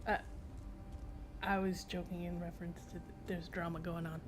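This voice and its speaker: A woman's voice: fairly low pitch, little pitch movement, moderate pace, noisy background. A woman chatting casually as she livestreams a game. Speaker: game streamer. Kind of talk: casual livestream chat during gameplay.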